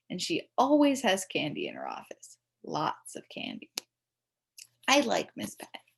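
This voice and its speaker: A woman's voice: medium pitch, vocal fry, slightly rough. A woman reading a children's story aloud in a theatrical, emphatic way, as a mischievous child telling it. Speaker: storybook reader. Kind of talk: expressive scripted children's story narration.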